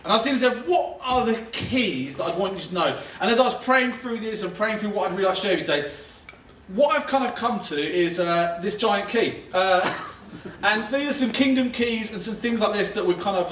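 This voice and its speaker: A pastor in his thirties preaches in a loose, joking way, often laughing through his sentences. His voice sits high for a man. It rings around a roomy hall, with faint ambience underneath. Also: animated, fairly quick, fairly bright tone, distant mic, slight background noise. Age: 30s. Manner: chatty, self-deprecating, laughs while talking. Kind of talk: conversational sermon. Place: church auditorium.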